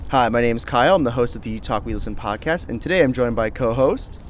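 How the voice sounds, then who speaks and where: A man's voice: mid-range voice, fast talker. A man hosts a casual podcast interview, upbeat and curious, asking questions at an easy clip. Faint traffic hums behind his voice in the outdoor setting. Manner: upbeat, inquisitive. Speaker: podcast host.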